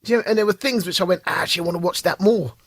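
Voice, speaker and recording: A man's voice: sits high, racing, no room sound, studio quality. A man co-hosting a podcast, talking with enthusiasm and tripping over his words. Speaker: podcast co-host. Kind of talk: casual chat.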